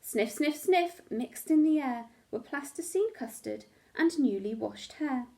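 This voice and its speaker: A woman teacher reading a children's picture book aloud in an expressive, animated storytelling manner. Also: high voice, unhurried, gravelly.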